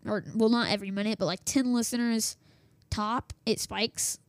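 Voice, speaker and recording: A woman's voice: fairly high pitch, fairly even intonation, moderate pace, no echo. Chatty woman host with a worn voice. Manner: chatty, self-interrupting, many fillers and restarts. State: losing her voice. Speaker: host of a school radio news show. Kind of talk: casual rambling radio chat. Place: home office. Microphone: desktop setup with small soundboard.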